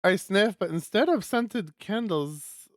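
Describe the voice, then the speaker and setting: A man streamer reading a visual novel aloud and adding chatty, animated asides. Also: high voice, slow pace, no echo, clean studio sound.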